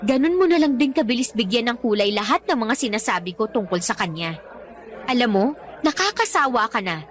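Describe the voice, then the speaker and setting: A woman's voice: a little high, expressive, brisk, a faint crowd, close to an everyday voice. A woman playing an exasperated, hurt young girlfriend in a radio love drama, sarcastic and reproachful. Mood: annoyed, defiant.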